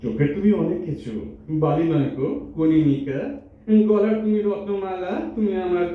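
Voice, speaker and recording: In a very echoey hall, a little muffled, a man acts a playful young lover. His high voice declaims rhymed stage-drama verse with flattering, theatrical flourish. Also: normal speed, natural voice. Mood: adoring, flattering. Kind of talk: rhyming verse stage audio drama in Bengali. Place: multipurpose hall stage in Kolkata. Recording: very echoey hall, distant mic, dull.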